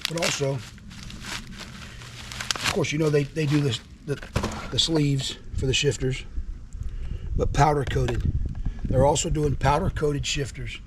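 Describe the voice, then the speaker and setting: Hoarse, enthusiastic man, noisy recording. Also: on the high side, steady tone, takes it slowly, pauses often. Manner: enthusiastic, repetitive, folksy. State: hoarse from illness. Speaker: trucking vlogger. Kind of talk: casual product show-and-tell. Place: outdoors at a house.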